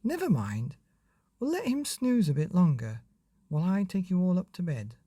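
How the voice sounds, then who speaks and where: A man's voice: sits high, sing-song, moderate pace, dark tone, husky, dry recording, studio quality, voice pushed up, heavily acted. A man voicing a kindly, practical mother in a children's storybook, with a soothing manner.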